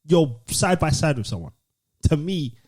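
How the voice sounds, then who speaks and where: A man's voice: on the high side, expressive, measured pace, a little mellow, husky. A man co-hosting a podcast, casually debating and insistently repeating his point. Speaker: podcast co-host.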